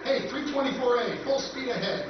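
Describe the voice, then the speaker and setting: A man's voice: extremely high pitch, lively intonation, fairly quick. An actor playing an easygoing, joking male surveyor partner in a live radio drama recreation. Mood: casual. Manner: breezy, joking. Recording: echoey hall, far mic, muffled, noise.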